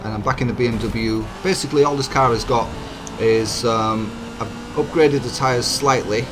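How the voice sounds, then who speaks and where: A hoarse man talks through his racing game footage in an easygoing, chatty way, sounding croaky and a little apologetic. His voice sits at an ordinary middle pitch. Loud car engine noise from the game runs under everything he says. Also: moderate pace. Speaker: gaming channel host. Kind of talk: racing gameplay commentary.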